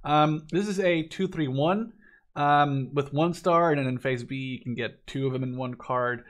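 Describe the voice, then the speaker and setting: Man in his twenties, somewhat high voice, conversational game commentary, opinionated, rambling. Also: normal speed. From Netherlands. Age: 20s. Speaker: gaming video commentator.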